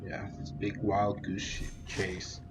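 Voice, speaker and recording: A man's voice: fairly low pitch, monotone, takes it slowly, background noise. A man giving casual commentary as he hosts a gameplay video. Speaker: gaming video host.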